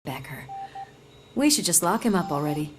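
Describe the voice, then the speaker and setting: A woman's voice: lowish voice, very animated, normal speed, noisy background. A woman playing a weary, concerned police officer in a video game, talking in an earnest, conversational way. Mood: concerned, tired. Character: weary, concerned policewoman recovering from being shot.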